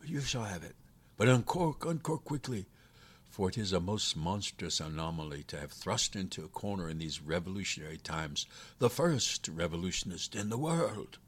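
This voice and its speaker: A man's voice: sits low, animated, normal speed, slightly rough. A man voicing a tiny shriveled demon from a storybook, pompous, desperate and spiteful, with grandiose theatrical pleading and cursing. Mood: urgent. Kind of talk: storybook character voice.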